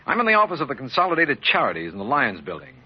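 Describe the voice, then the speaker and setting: Quick, husky no-nonsense district attorney. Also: male voice, mid-range voice, wildly swooping pitch. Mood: urgent. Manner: clipped, official, emphatic. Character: brisk, businesslike, no-nonsense male district attorney. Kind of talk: old-time radio detective drama acting. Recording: dull, lo-fi vintage radio recording.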